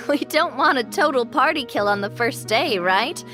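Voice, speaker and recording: Brief: confident young female hunter, high pitch, teasing game-character delivery, faint music behind. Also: lively intonation, a little slow. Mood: playful. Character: playful, confident young woman monster hunter. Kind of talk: video game voice acting.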